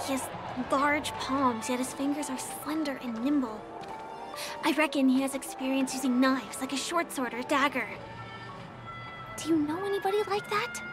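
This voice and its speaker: An actress playing a gentle, earnest, observant young woman performer in a video game. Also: high voice. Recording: game music under the voice.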